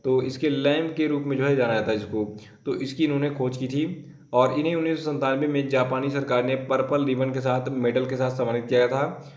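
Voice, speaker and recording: Hoarse man teaching a current affairs lesson. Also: mid-range voice, fairly even intonation, brisk, a little mellow, reverberant, dull audio. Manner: explains step by step, repeats names and dates to memorize.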